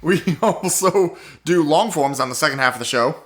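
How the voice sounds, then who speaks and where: Male podcast co-host, slightly high voice, casual improv banter. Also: normal speed, a bit bright.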